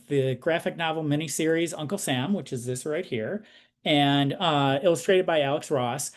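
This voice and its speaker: A man hosting a conversational interview show, speaking in a halting way and circling back as he explains. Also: on the high side.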